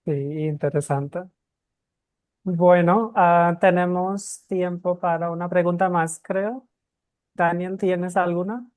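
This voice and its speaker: A woman's voice: lowish voice, fairly even intonation, takes it slowly, a little mellow, dry recording. A woman co-hosting an interview, a native Hindi speaker explaining sounds in conversational Spanish. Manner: explanatory, leads the questions. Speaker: co-host. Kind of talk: casual interview chat.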